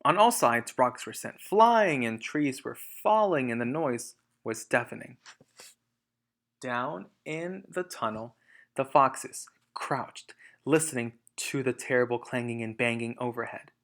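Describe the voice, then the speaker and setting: A man's voice: medium pitch, measured pace, a bit bright. A man reading a storybook aloud as a teacher, emphatic in narration and explanatory in asides.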